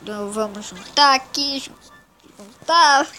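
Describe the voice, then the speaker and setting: High-pitched child gamer with a stuffy cold. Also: unhurried, sharp tone, faint music behind. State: congested with a cold. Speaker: gaming channel host. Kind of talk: casual mobile game commentary.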